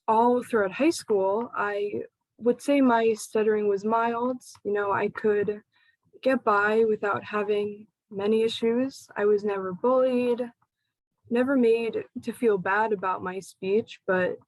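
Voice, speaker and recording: Young woman, slow and halting personal talk, dry close sound. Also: medium pitch, a little mellow, dry recording, low-quality recording. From United States. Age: young adult. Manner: slow, halting, pausing between phrases. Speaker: speaker sharing her personal story. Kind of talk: personal talk to a support group.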